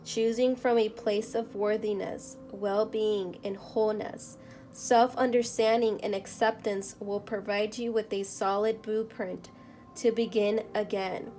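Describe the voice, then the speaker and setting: A woman whose first language is Spanish gives a tarot reading at a table, speaking slowly and softly in a middle-pitched voice. She murmurs as she shuffles and reads the card text aloud. Background music plays clearly under her voice. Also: fairly even intonation, rough recording. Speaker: tarot reader. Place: indoors at a table.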